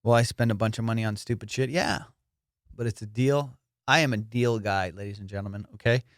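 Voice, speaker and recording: A man's voice: lowish voice, a little fast, no echo, clean studio sound. A young adult man, a comedian and podcast host, telling boastful, profane stories in a casual monologue. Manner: profane, boastful storytelling with excited bursts.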